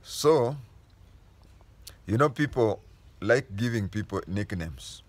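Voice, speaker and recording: A man with a Kenyan accent talks through history like a patient storyteller. His voice sits fairly low. He pauses often, restarting phrases to set the record straight as he goes. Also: animated, no echo. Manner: unhurried storytelling, stopping to restate and correct himself. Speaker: history explainer. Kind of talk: casual spoken history lesson.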